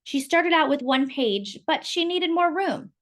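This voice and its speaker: A woman narrates a children's picture book aloud, her voice swooping high and low with lively expression. She reads at a relaxed storytime pace and drops to soft asides now and then. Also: a little slow.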